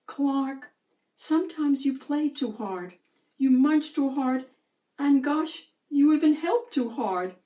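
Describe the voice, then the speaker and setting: Woman acting female sea-creature teacher, storybook, instructive, encouraging, measured, slightly high voice. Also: expressive, warm tone, reverberant, recorded from a distance. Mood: gently advising. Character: patient, gently firm female sea-creature schoolteacher.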